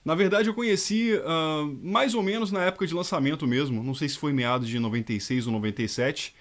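A man, a fan dub voice actor chatting in a lively, nostalgic way to camera. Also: a little high, fast. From Rio de Janeiro state, Brazil. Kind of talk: casual nostalgic testimonial. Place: dark room indoors.

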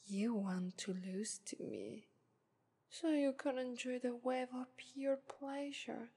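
A haughty female lust demon voiced by a woman who purrs her taunts in a breathy, airy whisper. She speaks with an Italian accent and drags every phrase out very slowly. It's an intimate ASMR roleplay. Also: mid-range voice, darkish tone, exaggerated voice. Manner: whispery, teasing, purring "ara ara".

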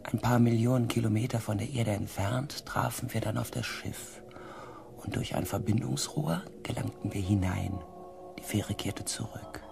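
An actor playing a space expedition coordinator who narrates his mission in a measured radio drama style, gravelly and breathy at phrase ends. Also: on the low side, noisy background. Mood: sober recounting. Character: male space expedition team coordinator recounting a far-future mission.

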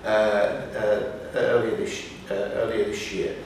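An older man chatting casually in an interview, halting and repeating words as he tells anecdotes. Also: lowish voice, little pitch movement. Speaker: pantomime writer and actor. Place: small indoor room, seated on sofa. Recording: echoey room, distant mic, faint noise.

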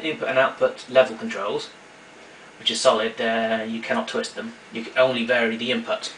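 A man's voice: mid-range voice, fairly bright tone. A man giving an informal hands-on equipment demonstration in a matter-of-fact, explanatory way, recorded on a camera mic in a small room at home. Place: small domestic room indoors. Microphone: camera mic a short distance away. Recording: noisy amateur room recording. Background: clear background noise.

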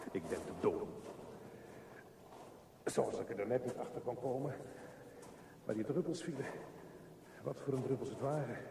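A desperate man fighting his way through a dark tunnel in a radio play. He speaks slowly and intensely, in halting fragments. The sound is echoey and distant, with clear noise. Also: mid-range voice.

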